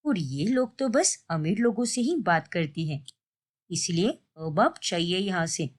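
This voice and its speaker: Woman as an aloof, unhurried young sister-in-law. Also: on the low side, lively intonation, voice pushed down. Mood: cool, snubbing.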